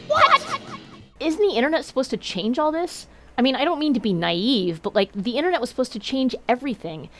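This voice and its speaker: A woman voicing a naive, excitable young kid interviewer, hosting a playful educational show. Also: high-pitched, fairly quick, faint music behind, heavily acted. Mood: naive curiosity. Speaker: show host.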